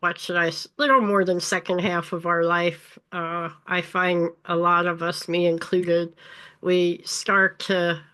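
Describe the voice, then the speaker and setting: A woman's voice: lowish voice, flat delivery, a little slow, fairly bright tone, clear, resonant tone. A woman hosting a faith-based podcast who talks in a friendly way. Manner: friendly, faith-centered chat. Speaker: podcast host. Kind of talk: podcast interview.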